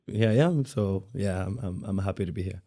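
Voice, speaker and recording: A man from France in his thirties, speaking English with a French accent. His low voice is gravelly and dark in tone, recorded dry and close. He talks softly and haltingly, often repeating words while he looks for the right phrase. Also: no room sound. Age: 30s. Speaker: guest dancer. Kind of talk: interview conversation.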